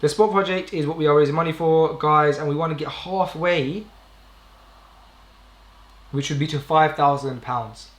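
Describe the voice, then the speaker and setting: A man's voice: on the high side, normal speed, long pauses. A young British man hosting a casual live stream, talking informally in a rambling way and often correcting himself. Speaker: podcast host live streaming. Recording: roomy indoor echo.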